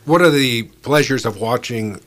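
A man hosting an interview who asks measured, curious questions. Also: mid-range voice, fairly bright tone. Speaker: interviewer, host.

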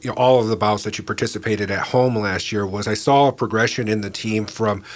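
A man hosting an interview who asks measured, curious questions. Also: on the low side. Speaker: interviewer, host.

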